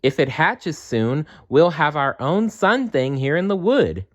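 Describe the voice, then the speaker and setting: Man voicing an earnest, excitable little girl elf, pitched up. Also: a little slow. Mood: excited. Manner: earnest, wide-eyed, emphatic. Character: tiny excitable female elf, earnest and easily cross.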